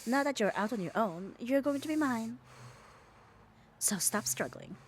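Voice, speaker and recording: A woman's voice: a little high, expressive, measured pace, a little hiss or hum. A woman playing a muscular, possessive yet caring yandere in an ASMR roleplay, speaking with coaxing, tender firmness. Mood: firm, teasing. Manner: coaxing, firm, tender reassurance. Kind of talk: ASMR roleplay audio drama.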